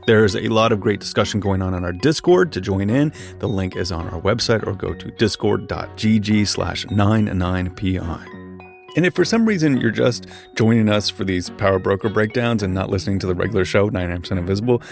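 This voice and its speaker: A male podcast host with a lowish, gravelly voice. He talks in a relaxed, easygoing way and laughs often. Soft music plays faintly behind him. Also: fairly quick. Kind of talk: casual book club chat and show credits.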